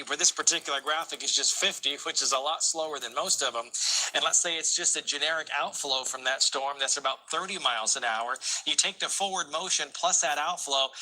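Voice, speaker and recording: A man's voice: fairly high pitch, sharp tone, low-quality recording. An American man working as a TV meteorologist, giving live unscripted severe weather commentary and explaining as he thinks aloud.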